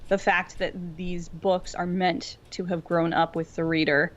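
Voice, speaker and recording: A woman's voice: lowish voice, flat delivery, measured pace, lo-fi sound. A woman co-hosting a casual fan discussion, talking in a rambling, tangent-prone way peppered with "like". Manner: rambling, tangential, filler-heavy with frequent "like". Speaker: podcast co-host.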